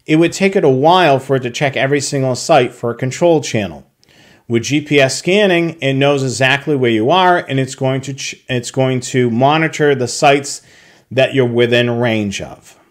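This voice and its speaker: A man's voice: mid-range voice, moderate pace. A man narrating a slide tutorial, explaining a technical process step by step with occasional fillers. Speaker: product tutorial presenter.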